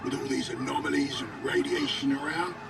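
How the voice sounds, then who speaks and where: An actor playing a wounded, desperate mercenary in a video game, strained and in pain. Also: male voice, mid-range voice, little pitch movement, fairly quick, vocal fry, recorded from a distance, loud music behind the voice, close to an everyday voice. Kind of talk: dramatic video game acting.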